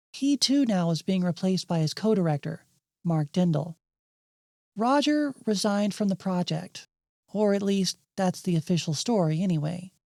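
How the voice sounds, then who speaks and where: Mellow woman narrating a video essay. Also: medium pitch, no echo, clean studio sound. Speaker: narrator and host.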